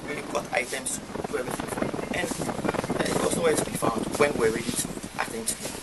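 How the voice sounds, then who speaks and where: A man with a medium-pitched voice gives an account as a hospital worker. He explains in a measured, halting manner. Very loud ambient noise surrounds his words. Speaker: hospital medical staff member. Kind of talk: spoken account in conversation.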